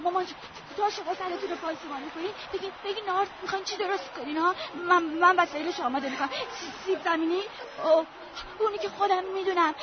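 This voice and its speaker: A woman playing a desperate young eldest daughter who pleads and sobs over her parents. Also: extremely high pitch. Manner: pleading, sobbing, delirious. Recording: dull lo-fi with crowd behind.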